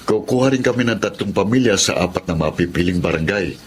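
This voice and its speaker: Lower-voiced, supportive husband. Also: male voice, animated, fast, a little hiss or hum. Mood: proud, encouraging. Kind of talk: Tagalog radio drama acting.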